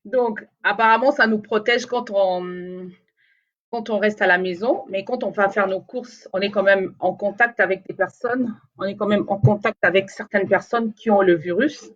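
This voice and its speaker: A woman's voice: medium pitch, moderate pace, lo-fi sound. A woman whose first language is Lingala, chatting casually and rambling to her viewers in a live video, with many fillers and restarts. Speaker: vlogger talking to viewers. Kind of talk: casual live chat to camera.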